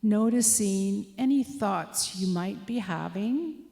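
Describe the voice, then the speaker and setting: A woman leading a guided meditation, giving gentle, inviting instructions as soft suggestions. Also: medium pitch, slow pace, vocal fry, faint music behind. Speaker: meditation leader.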